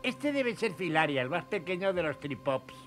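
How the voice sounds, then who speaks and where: A man's voice: sits high, very animated, normal speed, fairly bright tone, faint music behind. A man voicing a learned, fussy old space gnome in a children's cartoon, precise and slightly pedantic. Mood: explanatory. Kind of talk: dubbed children's cartoon acting.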